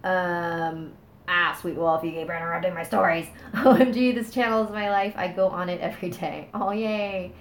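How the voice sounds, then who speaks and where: A woman's voice: on the low side, steady tone, moderate pace. A woman hosting a casual livestream, chatting with viewers and reading scary stories, a bit stuffy-nosed, with a chatty, giggly manner. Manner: chatty, thanks viewers, laughs, trails off. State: stuffy nose. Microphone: phone on a small stand.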